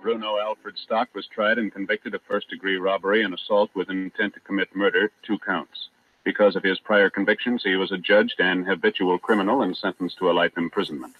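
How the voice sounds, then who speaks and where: A man's voice: sits low, little pitch movement, fairly quick, phone-quality sound. An American man reading formal, clipped announcements for a 1950s radio crime drama. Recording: old radio broadcast with music behind.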